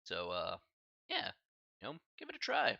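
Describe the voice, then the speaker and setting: A man lecturing a math class over a live stream, loose and chatty, full of "uh" and friendly slang. His voice is very bright and lively, swinging up and down in pitch. The sound is dry and a little dull, with no room around it. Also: moderate pace, creaky, no room sound. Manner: casual, chatty, filler-heavy with slang asides. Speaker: math instructor.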